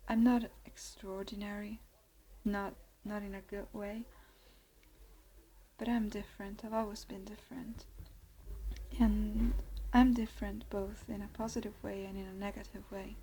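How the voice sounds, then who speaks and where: A woman's voice: mid-range voice, level tone, a little slow, a little hiss or hum. Whispering Italian-accented young woman. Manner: soft whisper, hesitant with many fillers. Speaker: ASMR creator. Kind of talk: whispered ASMR talk.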